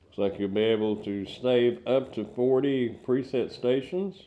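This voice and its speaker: A man's voice: mid-range voice, unhurried, dark tone, dull audio, slight background noise. An older man giving a casual hands-on product review, explaining things step by step in plain words.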